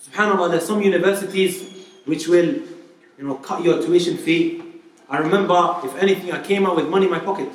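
A man's voice: high-pitched, a little fast. A man preacher giving an informal Islamic talk to a live audience, chatty and full of rhetorical questions. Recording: very echoey hall, voice from far off.

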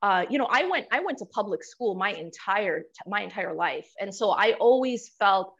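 A woman's voice: medium pitch, expressive, normal speed, creaky, a bit bright, slightly rough. Hesitant, reflective American woman. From United States. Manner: reflective, many restarts and repeated words. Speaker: guest. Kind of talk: personal storytelling in an interview. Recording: slightly muffled podcast audio.

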